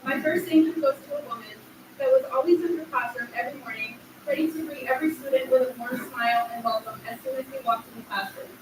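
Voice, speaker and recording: A woman's voice: high voice, fast. A woman in her thirties reading a sincere, emotional graduation speech from a podium. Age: 30s. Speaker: graduating adult student giving a speech. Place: large echoey hall at a graduation ceremony. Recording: echoey hall, distant mic, faint noise.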